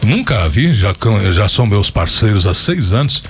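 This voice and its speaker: Brazilian man, radio host, animated intonation, colloquial storyteller, casual chat, music in the background. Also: fairly low pitch, moderate pace. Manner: chatty, colloquial, anecdotal. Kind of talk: casual chat with live ad reads.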